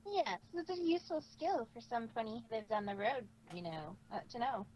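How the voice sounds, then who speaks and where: A woman's voice: fairly high pitch, lively intonation, fairly quick, dull audio, lightly acted. A woman voicing a proud, guarded, self-important female unicorn in a cartoon fan story reading. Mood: proud, reserved. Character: proud, guarded female unicorn showwoman speaking in third person. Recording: faint background noise.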